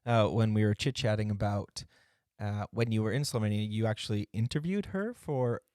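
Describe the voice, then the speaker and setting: A man's voice: on the low side, normal speed, darkish tone, clean tone, no room sound, clean studio sound. A man hosting a podcast, interviewing a guest in a friendly way and laughing easily. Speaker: podcast host.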